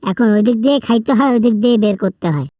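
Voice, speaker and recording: A woman's voice: fairly high pitch, very fast, dark tone, no room sound, lightly acted. A woman with a Bangladeshi accent playing a whining male prisoner in a comic phone skit, pleading and repeating words in agitation. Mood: flustered, complaining. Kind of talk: comic prank-style phone call skit.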